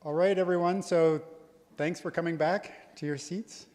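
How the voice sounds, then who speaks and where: A man's voice: fairly high pitch, fairly even intonation, normal speed, a little mellow. A man emceeing a college convocation, coaxing people back to their seats with light laughs. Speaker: event emcee. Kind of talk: emcee announcements. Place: large hall. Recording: long hall reverb.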